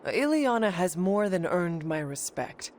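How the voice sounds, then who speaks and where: An actress playing a solemn warrior heroine in a video game, speaking in a measured, formal, stoic way. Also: female voice, lowish voice, sing-song, a little mellow, slight background noise.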